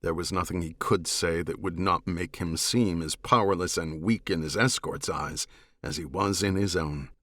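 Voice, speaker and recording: Man, low voice, serious measured delivery, scripted fiction narration, dry recording. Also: fairly even intonation, a bit bright, dry recording. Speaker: audiobook narrator.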